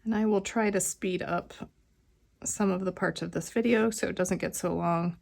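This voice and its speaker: A middle-aged woman with a cold and a slightly hoarse voice, musing aloud in a casual plan-with-me vlog at home. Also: lowish voice, steady tone, a little mellow. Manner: unhurried, musing aloud while crafting. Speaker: planner vlogger. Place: quiet home.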